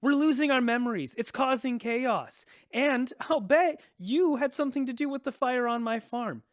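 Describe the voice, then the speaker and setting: An actress playing a stern, principled farmer in a radio drama, with an intense, accusing manner. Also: female voice, high voice, moderate pace, dry recording, clean studio sound, natural voice. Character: stern, principled, wounded young farm owner.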